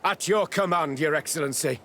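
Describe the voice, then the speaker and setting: An actor playing a dutiful military officer in a video game, speaking formally and respectfully to a superior. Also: male voice, fairly high pitch, a little fast, bright, forceful tone, a little hiss or hum. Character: dutiful, deferential military officer ready for orders. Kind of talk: scripted video game voice acting.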